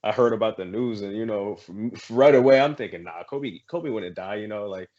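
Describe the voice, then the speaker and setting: Deep-ish voiced guy from haiti, kinda halting and emotional, dull lo-fi sound. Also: a little mellow. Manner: emotional, halting, searching for words. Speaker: podcast guest. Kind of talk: casual chat.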